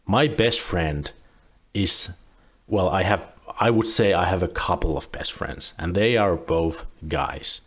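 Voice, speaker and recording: Young man, very low voice, relaxed rambling commentary, restarts often. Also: slow pace. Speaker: reaction video host. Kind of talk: casual commentary on a clip.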